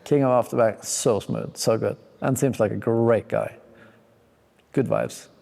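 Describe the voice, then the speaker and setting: A man's voice: fairly low pitch, unhurried, vocal fry, mellow. A young man from Sweden, speaking, gives laid-back, slangy, enthusiastic commentary while reacting to ski clips. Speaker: pro skier.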